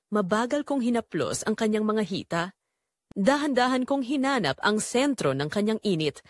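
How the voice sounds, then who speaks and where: A brooding, guilt-torn narrator played by a woman confesses in a scripted audio drama. The delivery is slow and deliberate with widely swinging intonation, in a mid-pitched voice recorded very dry and close. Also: dry recording, lightly acted. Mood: brooding, intimate. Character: Jomar, brooding, guilt-torn man confessing a forbidden romance.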